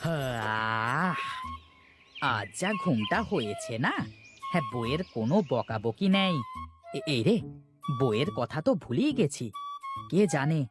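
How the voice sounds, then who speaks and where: A man's voice: mid-range voice, wildly swooping pitch, takes it slowly, pauses often. A man voicing a boastful, gluttonous young married villager in a cartoon, full of exclamations. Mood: anxious. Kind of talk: cartoon character dialogue. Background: music.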